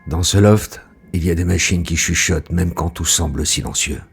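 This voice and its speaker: A very deep, brooding male narrator, played by a man who was human only for seconds. He speaks in a slow, pensive way over faint music. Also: gravelly. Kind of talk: scripted audio drama narration.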